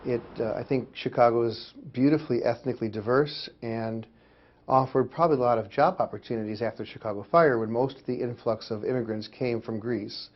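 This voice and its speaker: A man's voice: medium pitch, fairly quick. A man giving explanatory answers in an interview. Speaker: interviewee on Orthodox church life. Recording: dull, lo-fi interview audio.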